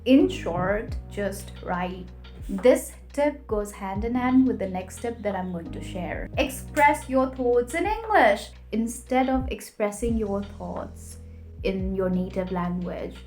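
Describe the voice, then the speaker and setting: A woman's voice: medium pitch, lively intonation, slow, darkish tone. Young woman giving emphatic tips. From Nepal. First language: Nepali. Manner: emphatic, tip-by-tip delivery. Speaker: vlogger. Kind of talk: scripted advice vlog. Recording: echoey room with faint music.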